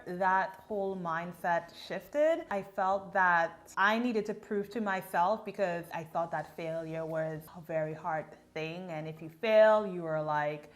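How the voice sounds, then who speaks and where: A woman in her thirties from Trinidad and Tobago, chatting casually and playfully as she answers get-to-know-me questions. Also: mid-range voice. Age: 30s. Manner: expressive, playful, reads questions aloud then answers. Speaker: beauty vlogger. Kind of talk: casual Q&A vlog.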